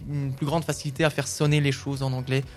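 French-speaking musician guy answering an interview, lots of ums, kinda monotone. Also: mid-range voice, fast talker, soft background music. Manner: hesitant, many fillers and restarts. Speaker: singer-songwriter guest.

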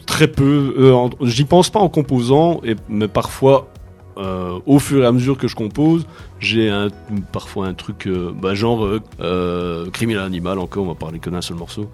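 Hesitant low-voiced French man. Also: normal speed, darkish tone, faint music behind. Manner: hesitant, many fillers and restarts, laughs easily. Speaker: musician, band songwriter. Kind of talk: casual interview.

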